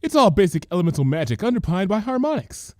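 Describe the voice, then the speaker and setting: A high, wildly animated young mare, proclaiming grand conspiracies as a storybook character. The delivery is theatrical and unhinged, recorded close and dry. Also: male voice, fast talker, no room sound. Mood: eager lecturing. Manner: dramatic, proclaiming.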